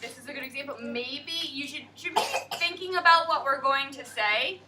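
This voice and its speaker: High bright woman teaching young kids. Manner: slow, emphatic, questioning the class. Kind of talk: classroom lesson to young children. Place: classroom. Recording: distant classroom mic, faint noise.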